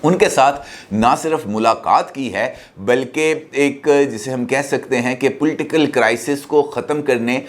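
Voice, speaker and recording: A man's voice: mid-range voice, a little slow. A man with a Pakistani accent giving informal political news commentary as a host, talking conversationally.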